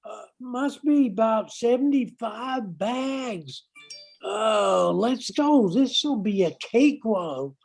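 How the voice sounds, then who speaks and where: A slow, slightly high voice. An older woman plays a cocky, scheming bayou bandit in a radio drama, always sizing up an easy haul. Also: lively intonation, vocal fry, darkish tone, breathy edge, exaggerated voice. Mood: gloating. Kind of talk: radio play acting.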